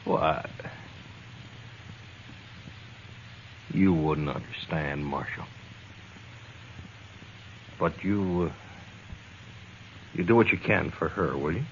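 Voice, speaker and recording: Cowboy outlaw, very low voice, guarded and bitter, noisy vintage radio drama sound. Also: male voice, takes it slowly, long pauses, lo-fi sound, exaggerated voice. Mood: hesitant, troubled. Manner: curt, guarded, intense. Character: bitter, defiant male outlaw in custody. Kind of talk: 1950s radio western acting.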